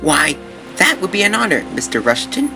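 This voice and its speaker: A man voicing a small, earnest, excitable talking sailboat with a youthful air in an amateur audio drama. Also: a little high, moderate pace, vocal fry, faint music behind, heavily acted, low-quality recording. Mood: earnest, proud.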